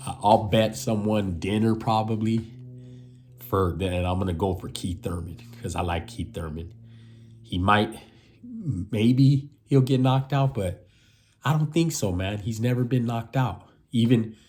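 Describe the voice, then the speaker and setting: A man's voice: fairly low pitch, a little mellow. A man in his fifties giving rambling casual commentary, repeating himself and ending lines with "man". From United States. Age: 50s. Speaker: boxing channel host.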